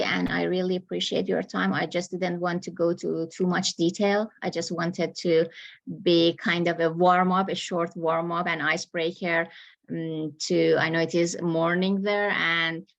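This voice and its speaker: A woman's voice: on the low side, flat delivery, a little slow, vocal fry. A woman presenter closing her academic conference talk, polite and hesitant with many fillers.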